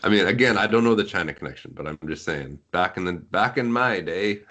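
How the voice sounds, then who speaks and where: A man's voice: low-pitched, lively intonation, a little fast, bright, forceful tone. A middle-aged man reminiscing casually as a guest on a podcast call. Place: remote group call.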